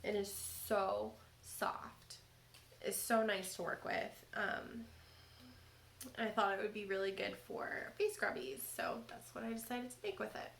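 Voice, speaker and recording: A woman with a cold hosts a knitting podcast in a slow, unhurried drawl with a gravelly, creaky edge. She chats casually and fills pauses with ums. The room is echoey, with faint noise behind her. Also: mid-range voice. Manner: chatty, relaxed, with frequent ums. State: stuffy from a cold. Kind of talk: casual show-and-tell chat.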